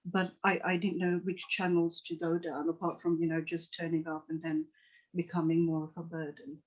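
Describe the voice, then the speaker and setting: A woman's voice: lowish voice, flat delivery, normal speed. A middle-aged woman from Tanzania, a psychotherapist, telling her life story earnestly in an interview, with frequent restarts and "you know". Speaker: psychotherapist guest. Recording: dull lo-fi call audio.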